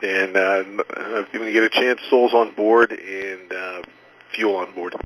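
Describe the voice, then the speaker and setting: Low-voiced male air traffic controller on radio. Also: steady tone, a bit bright, low-quality recording. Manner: halting, procedural phrasing with frequent hesitations. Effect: aviation radio.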